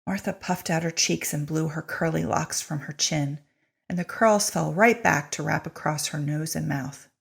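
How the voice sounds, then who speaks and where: A woman giving an expressive, wry scripted narration of a story in a husky, creaky voice. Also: low voice, moderate pace. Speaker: audiobook-style reader of a short story.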